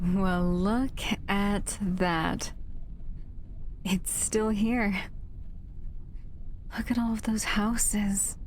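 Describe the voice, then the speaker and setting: Slow-paced, wistful protective monster woman played by an actress. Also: medium pitch, darkish tone, a little hiss or hum, natural voice. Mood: hopeful, awed. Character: female monster, protective escaped lab captive, warm and wistful. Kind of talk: audio roleplay acting addressed to the listener.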